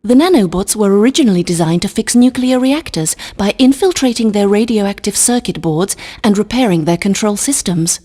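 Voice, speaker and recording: An actress playing a composed woman giving a measured, technical briefing in a video game. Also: mid-range voice, lively intonation, dark tone, lightly acted.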